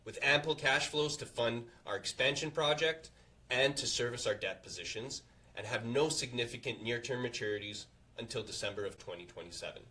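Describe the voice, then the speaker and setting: A man reading a scripted earnings presentation to investors as a company executive, in a formal, confident, measured way. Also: mid-range voice, sharp tone.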